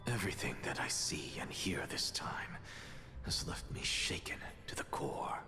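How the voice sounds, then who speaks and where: An actor playing an arrogant, cerebral young male scholar in a video game, speaking in a breathy, deliberate, theatrical monologue. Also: animated. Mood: intense, resolved. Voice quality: low, breathy, whispery. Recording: music behind the voice.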